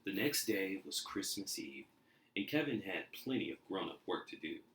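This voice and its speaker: Male reader for a children's storybook, deep low register, expressive narration, audibly echoey room. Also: moderate pace, slight background noise. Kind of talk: expressive storybook read-aloud. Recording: roomy, echoey indoor sound.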